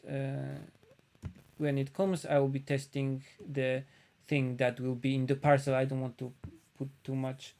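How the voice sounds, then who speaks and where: Slow, musing man with a Polish accent. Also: mid-range voice, a little mellow. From Poland. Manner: unhurried, thinking aloud, many uh pauses. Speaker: painter streaming a live demo. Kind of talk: casual live-stream commentary while painting.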